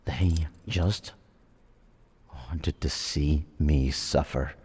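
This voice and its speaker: Brooding war vet villain, super deep raspy voice, slow n halting, menacing audio drama thing. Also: male voice, a little mellow, full character voice. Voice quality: gravelly, raspy. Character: menacing, traumatized male war veteran turned killer suspect. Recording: long cavernous reverb.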